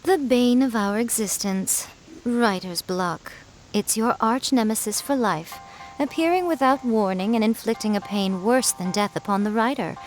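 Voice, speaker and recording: A woman's voice: a little high, moderate pace, faint music behind, lightly acted, low-quality recording. An actress voicing a sly, self-assured fox-spirit woman in a video game, teasing and worldly as she mentors. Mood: mock-dramatic. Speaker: publisher and mentor.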